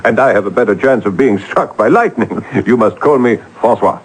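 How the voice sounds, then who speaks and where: An actor playing a generous, penniless French painter in a vintage radio drama, with an exuberant, theatrical manner. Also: male voice, lowish voice, moderate pace, husky, poor audio. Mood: playful, wry.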